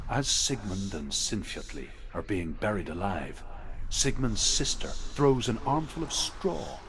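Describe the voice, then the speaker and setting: An actor playing a wise, grave storyteller of Norse legends in a video game, solemn and measured in dramatic narration. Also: male voice, low voice, noisy background. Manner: solemn, measured, hushed at phrase ends.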